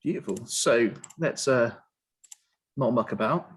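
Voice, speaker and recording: A man hosting an online interview, explaining a tournament in a relaxed, filler-heavy way. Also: medium pitch, a little slow, breathy edge. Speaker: host.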